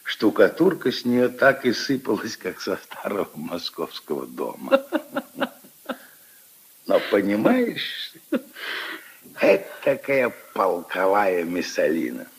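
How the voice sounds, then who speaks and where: A deep-voiced old grandfather in a 1950s radio play, slow and expressive. He tells his tales of love intimately and emphatically, wistful and full of conviction. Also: breathy edge, heavily acted, poor audio. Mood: scornful, wry. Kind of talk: 1950s radio drama acting.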